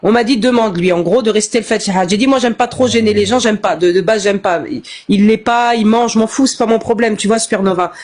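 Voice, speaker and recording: A woman's voice: mid-range voice, fast talker, hardly any pauses, darkish tone. A woman in an online live chat, speaking bluntly and often restarting her sentences. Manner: blunt, talks over others, frequent restarts. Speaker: live stream participant. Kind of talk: casual heated discussion.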